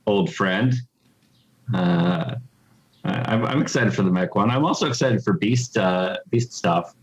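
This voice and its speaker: A man, a game developer guest, chatting casually but haltingly and coyly, choosing his words with care. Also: on the low side, dull audio. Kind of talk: casual interview chat.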